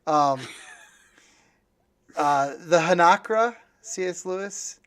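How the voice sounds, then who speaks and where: A man hosting a casual conversational interview, musing aloud and speaking haltingly in fragments. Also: high voice, fairly bright tone. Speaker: podcast host.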